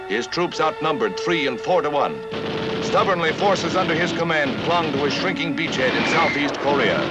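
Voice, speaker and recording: Over loud music on a vintage newsreel soundtrack, an American man reads scripted 1950s news narration. His voice sits fairly high and moves with animated rises and falls. The delivery is grave, dramatic and emphatic. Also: rough recording.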